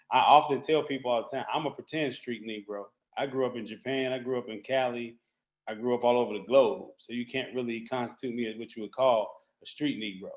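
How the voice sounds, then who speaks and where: A man speaking as a guest, relaxed and rambling, with casual street slang. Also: medium pitch, reverberant, dull audio. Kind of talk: casual conversation.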